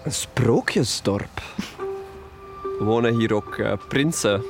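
A man's voice: medium pitch, slow. A man acting a charming, slightly shy young man in a natural, hesitant and joking audio drama style. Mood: curious, wondering. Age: young adult. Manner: hesitant, wry, conversational. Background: music.

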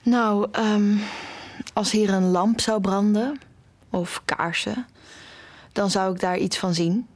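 A woman's voice: mid-range voice, steady tone, slow pace, no room sound. A young woman acting in an audio drama as an anxious, tender, nearly blind music student who also narrates her story. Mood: tender, wistful. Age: young adult. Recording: music under the voice.

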